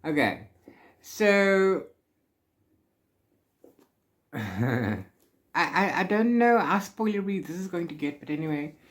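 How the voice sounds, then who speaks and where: A book vlogger speaks at a middling pitch in a casual, rambling vlog style. The talk is informal and halting, often trailing off and circling back to correct itself. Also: expressive. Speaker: book vlogger hosting a read-along.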